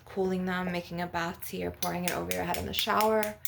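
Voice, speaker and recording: A woman's voice: fairly low pitch, fairly even intonation, fast, creaky, background noise. A woman healer talking informally and emphatically to her video audience in a spiritual ASMR session, with casual filler phrases and some swearing. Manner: conversational, emphatic, peppered with swearing and "you know".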